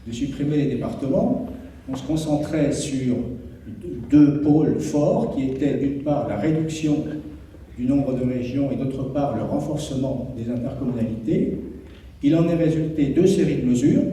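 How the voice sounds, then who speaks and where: A man, a municipal official, gives a formal, explanatory introduction to a public meeting in measured, hesitant phrases. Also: medium pitch, warm tone. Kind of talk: formal public address, partly improvised. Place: echoey indoor meeting room. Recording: echoey hall, distant mic, faint noise.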